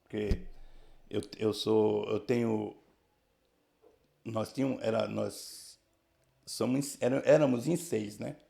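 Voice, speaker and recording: Gravelly-voiced man in his sixties. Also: fairly low pitch, steady tone, warm tone. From Brazil, São Paulo region. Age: 60s. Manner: halting, restarts, frequent tag questions. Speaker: church member giving testimony. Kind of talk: casual life-story telling.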